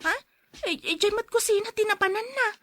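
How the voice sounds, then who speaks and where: An actress playing a cheeky young adult niece in a radio drama, teasing and nagging with an Ilocano accent. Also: female voice, very high-pitched, a little fast, a bit bright.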